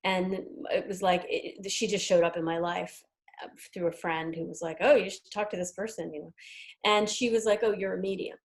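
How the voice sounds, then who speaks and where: A woman from New York with an American accent, talking casually as an interview guest, chatty with hesitant pauses. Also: lowish voice, fairly even intonation. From New York, United States. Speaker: interview guest, tarot deck creator and artist.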